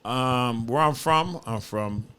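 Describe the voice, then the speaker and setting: A man's voice: medium pitch, slow, a bit bright, clean tone. A man from upstate New York talking casually as an interview guest, using slang and repeating his phrases. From Buffalo, New York, United States. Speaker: podcast guest, fashion designer.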